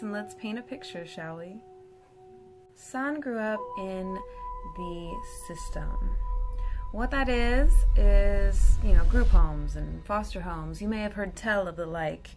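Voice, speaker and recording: A woman hosts a personal story time with clearly audible music behind her. Her pitch is middling for a woman. She tells it with theatrical, playful flair and slips into informal, conversational asides. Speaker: storyteller host.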